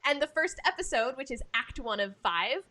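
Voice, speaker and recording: A woman's voice: high-pitched, animated, normal speed, sharp tone, a little hiss or hum. A woman from the United States hosting an arts podcast in a casual, enthusiastic and effusive chatting style. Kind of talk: casual chat, introducing guests.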